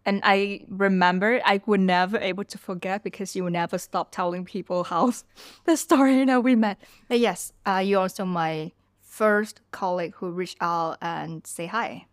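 A woman's voice: mid-range voice, fairly even intonation, normal speed, smooth, dry recording, clean studio sound. A woman in her thirties from Vietnam, speaking English as a podcast guest, reflecting loosely on her memories. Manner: reflective, talks through memories with loose phrasing. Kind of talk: casual conversational storytelling.

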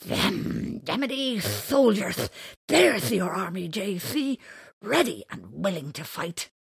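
A woman's voice: medium pitch, sing-song, slow pace, gravelly, slightly rough, no echo, clean studio sound, heavily acted. A woman voicing a blustery male woodland creature with odd mangled words. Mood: rousing.

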